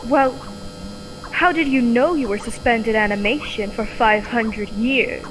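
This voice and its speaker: A woman's voice: on the high side, a little slow, lightly acted. A young woman playing an eager, inquisitive young soldier heroine in an old-time radio serial. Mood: curious. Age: young adult. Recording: echoey hall with background noise.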